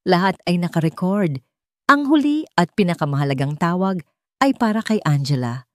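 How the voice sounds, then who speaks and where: Lady storyteller, kinda low voice, dramatic audiobook read, super dry sound. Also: expressive, moderate pace, no room sound. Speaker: audiobook narrator. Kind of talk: dramatic scripted story narration.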